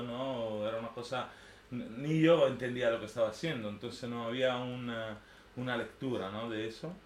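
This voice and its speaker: A man in his thirties with an Italian accent, native to northern Italy, gives an informal, unscripted personal talk. He rambles and hesitates, often checking for agreement as he goes. The room echoes around him, with a faint layer of noise behind. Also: medium pitch. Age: 30s. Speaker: artist giving a talk.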